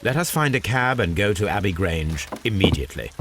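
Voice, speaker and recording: Game role: brilliant, aloof Victorian detective, British, deep voice, lecturing formality, noisy background. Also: male voice, big pitch swings, fairly quick. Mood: confident, deductive. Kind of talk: scripted video game acting.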